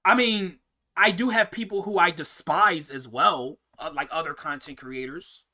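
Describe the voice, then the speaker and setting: A man's voice: on the high side, normal speed, pushed and bright. A young man hosting a casual live-stream Q&A, answering viewer questions in a rambling way, often repeating words and restarting sentences.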